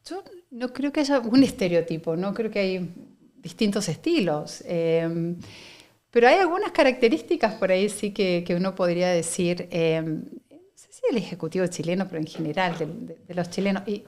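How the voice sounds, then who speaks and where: A middle-aged woman from Argentina, speaking with an Argentine Spanish accent in a lowish voice. She answers questions thoughtfully, pausing on fillers as she works through her ideas. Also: animated, a little mellow. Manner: reflective, measured, with frequent fillers and laughs. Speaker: guest, company director. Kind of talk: conversational interview.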